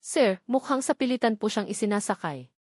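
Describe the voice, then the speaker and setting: A woman's voice: mid-range voice, lively intonation, brisk, darkish tone, no echo, clean studio sound, natural voice. Woman voicing an urgent, loyal old man. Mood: urgent, worried. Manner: urgent, deferential. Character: old male trooper, respectful anxious loyal employee.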